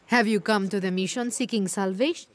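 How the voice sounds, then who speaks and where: A woman's voice: medium pitch, fairly quick, crowd noise, natural voice. A woman playing a courteous merchant in a video game, speaking in a formal, businesslike way. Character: courteous female merchant at a mission. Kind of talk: video game shopkeeper lines.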